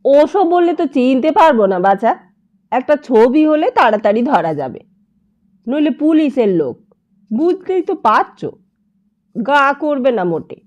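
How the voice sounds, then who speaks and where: A woman's voice: sits high, very animated, measured pace, pauses often, a little mellow. A woman voicing a shrewd, grasping older woman who haggles in a wheedling, complaining, familiar way.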